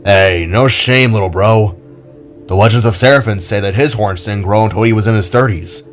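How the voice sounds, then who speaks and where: Low-voiced spark creature, teasing older brother, reserved, encouraging, audio drama, acted by a man, music behind. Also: moderate pace, natural voice.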